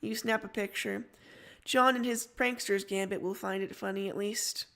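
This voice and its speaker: A woman's voice: mid-range voice, steady tone, normal speed, a bit bright. A woman reading scripted story narration as the narrator.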